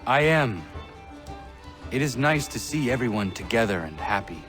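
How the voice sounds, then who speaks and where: A man playing an earnest, stern young protector in a video game cutscene, speaking in formal, measured wording. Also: medium pitch, expressive, music in the background, lightly acted. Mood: sincere.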